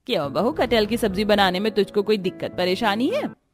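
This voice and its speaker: A woman's voice: medium pitch, expressive, fairly quick, faint music behind, close to an everyday voice. Woman playing pushy middle-aged mother-in-law. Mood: insistent. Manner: insistent, bossy.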